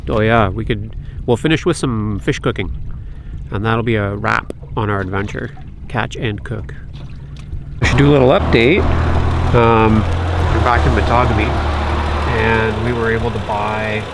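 A middle-aged man from Canada chats casually to a camera held at arm's length. He sounds easygoing and talkative, and laughs easily. Clear outdoor noise from wind and water sits under his voice. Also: fairly low pitch, steady tone, measured pace, long pauses. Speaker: vlogger. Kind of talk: casual travel vlog narration. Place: outdoors, on a lake and by a roadside. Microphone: handheld camera at arm's length.

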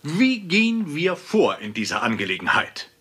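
An actor in a German sitcom dub playing a stern, condescending school principal who lectures in a formal, pompous manner. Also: male voice, on the high side, huge pitch swings, normal speed, pushed and bright, a little hiss or hum, heavily acted. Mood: indignant, lecturing.

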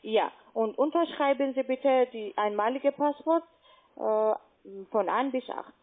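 A woman with a foreign accent speaks in a fairly high voice over a tinny, muffled phone line. She gives formal, repetitive instructions like a call-centre agent, in a halting non-native way. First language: not German.

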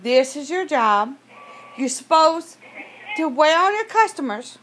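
A woman's voice: sits high, very animated, a little slow, a bit bright. A woman making a prank phone call in a brash, confrontational way, swearing and cutting in. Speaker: prank caller. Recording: noisy home-made recording.

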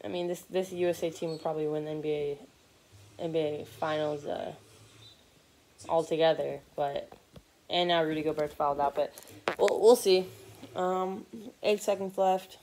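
A man's voice: on the high side, fairly even intonation, moderate pace, darkish tone, a little hiss or hum, low-quality recording. Teenage boy rambling over a live game. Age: teens. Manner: rambling, many fillers and restarts. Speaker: sports vlogger. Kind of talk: casual live game commentary. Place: living room couch, indoors. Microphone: phone or laptop camera from below.

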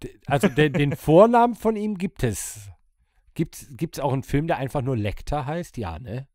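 A man co-hosting a podcast in casual, crude, halting banter, close on the microphone. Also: a little high, very animated, darkish tone, dry recording. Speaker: podcast co-host. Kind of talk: casual banter, movie-title word game.

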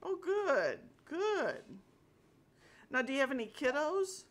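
An older woman chatting casually on a call from a small home office, friendly and quick to laugh. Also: sits high, big pitch swings. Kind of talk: casual phone chat.

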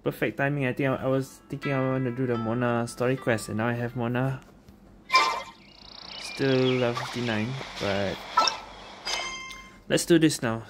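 A woman streams a game with casual commentary. Her voice is very deep for a woman, and loud game music plays behind it. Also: steady tone, normal speed, pauses often.

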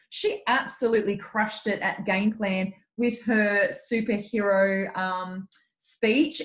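A woman hosting a video call, introducing a guest with effusive praise and lots of fillers. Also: mid-range voice. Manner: warm, gushing praise with many fillers. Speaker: host. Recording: echoey room over a video call.

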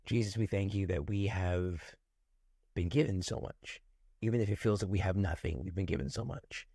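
A man in his forties, a pastor, speaking gently and reflectively into a webcam at home, leading into a prayer. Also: low-pitched, vocal fry, no echo, clean studio sound. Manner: gentle, unhurried, warmly reflective. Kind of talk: prayerful devotional talk. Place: home room with ceiling fan. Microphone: webcam close up, looking up from below.

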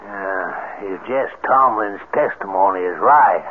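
A man's voice: lowish voice, unhurried, natural voice. An actor playing a folksy, wry rural man with plain, ungrammatical speech in a 1970s radio drama. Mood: puzzled. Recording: tinny, noisy old radio recording.

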